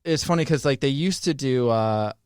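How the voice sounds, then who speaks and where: A man's voice: mid-range voice, animated, moderate pace, a little mellow, clear, resonant tone, dry recording, studio quality. A man in casual podcast conversation, telling stories in a rambling, conversational way. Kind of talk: casual chat.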